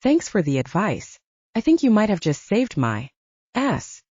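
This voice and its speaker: A woman's voice: medium pitch, very animated, a little slow, darkish tone, husky, dry recording, dull audio. Woman narrator as earnest young werewolf man. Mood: grateful, wry. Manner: pleading, wry, sighing. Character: earnest, stubborn young male werewolf hero.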